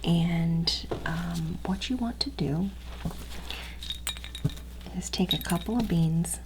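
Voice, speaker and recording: Hushed, low-pitched woman in ASMR roleplay. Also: noisy background. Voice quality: soft, breathy, often whispered. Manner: hushed, unhurried, halting step-by-step instruction. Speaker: ASMR performer playing a training instructor.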